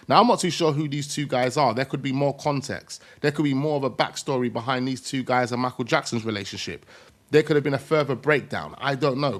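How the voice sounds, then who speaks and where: A man hosting reaction commentary in a conversational way, repeating his points for emphasis. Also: medium pitch. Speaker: video host.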